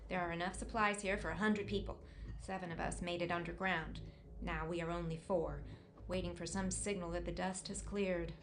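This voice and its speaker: A woman playing an anxious, methodical survivor in a bunker for an audio drama, with a deliberate way of speaking. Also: fairly low pitch, fairly even intonation, noisy background, natural voice. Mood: grave, measured.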